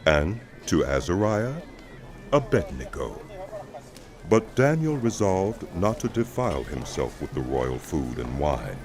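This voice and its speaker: A male narrator with a profoundly deep voice tells scripture solemnly and dramatically. His intonation is lively within a measured storytelling style, and music plays clearly behind him.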